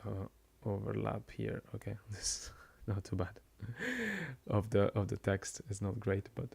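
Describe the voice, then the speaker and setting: Man, quiet voice, very slow, hesitant, fillers and restarts, live-stream commentator. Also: fairly low pitch, monotone, gravelly, breathy edge. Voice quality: quiet, soft-spoken. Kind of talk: casual live-stream chat.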